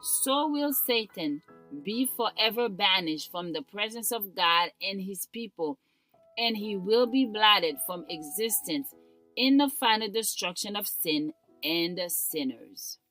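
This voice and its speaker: A woman reading a scripted devotional in a solemn, deliberate manner with formal diction. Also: mid-range voice, animated, a bit bright, rough recording. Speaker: devotional reader. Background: instrumental music.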